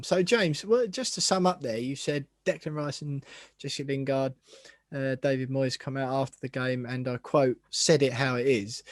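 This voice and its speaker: A man's voice: mid-range voice, dry recording. Wry man hosting, close dry sound. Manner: wry, teasing, long-winded questions. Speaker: podcast host. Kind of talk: casual football podcast chat.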